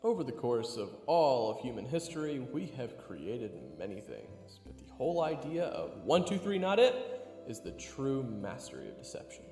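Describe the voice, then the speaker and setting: A man's voice: mid-range voice, very animated, normal speed, darkish tone. A man playing a goofy, boastful young human adventurer who tells his own tale in an audio drama, theatrical and joking. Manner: theatrical, joking, conversational storytelling. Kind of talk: first-person audio drama narration and dialogue. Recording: echoey, reverberant, music underneath. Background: music.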